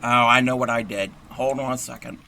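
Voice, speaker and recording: Hoarse, chatty man with a bright voice. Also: medium pitch, fairly even intonation, brisk, slight background noise. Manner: rambling, chatty, frequent throat-clearing. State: hoarse, scratchy voice. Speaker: crochet livestream host. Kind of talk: casual crochet tutorial, chatting with viewers.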